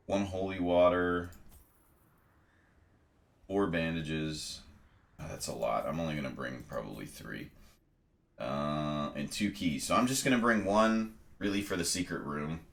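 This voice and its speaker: A man with a very low voice talks through a video game step by step, pausing on ums as he weighs each choice. He sounds congested. His voice rings in a noticeably echoey room. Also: a bit bright. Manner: methodical, explaining step by step with frequent ums. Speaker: let's play host. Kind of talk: casual gameplay commentary and tutorial.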